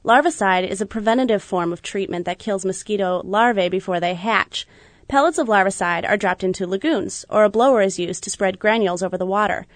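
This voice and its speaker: A woman reporter reads a radio news feature in a steady, measured way. Her voice sits at an ordinary middle pitch. The studio sound is close and dry, with no room around it. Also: no room sound. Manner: measured, even reporting delivery. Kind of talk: scripted news narration.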